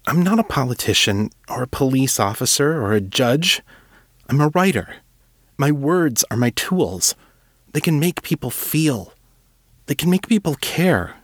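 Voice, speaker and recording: A man's voice: mid-range voice, big pitch swings, measured pace, no echo, studio quality, pitched above the performer's own voice. A man voicing an earnest, humble young male writer who speaks hesitantly and sincerely in an audiobook.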